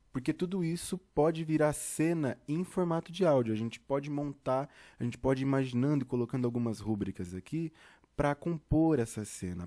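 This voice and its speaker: A man's voice: medium pitch, mellow. A Brazilian man giving an informal tutorial, talking straight to the viewer in a theatrical, conversational way. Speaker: theatre teacher and podcast host.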